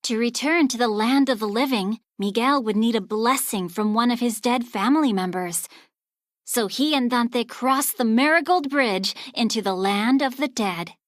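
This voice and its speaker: High-voiced woman reading a children's storybook. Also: normal speed, dry recording, studio quality. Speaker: storybook narrator. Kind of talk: scripted children's read-aloud storytelling.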